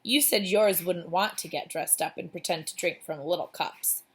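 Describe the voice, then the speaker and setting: A woman's voice: on the low side, normal speed, breathy edge. A young girl visiting a friend in a children's story, played by a woman reading aloud. She sounds unbothered and matter-of-fact, answering in an offhand way.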